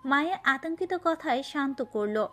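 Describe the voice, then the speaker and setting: A woman's voice: high-pitched, lively intonation, moderate pace, fairly bright tone, faint music behind. A woman narrating a romantic story from a script.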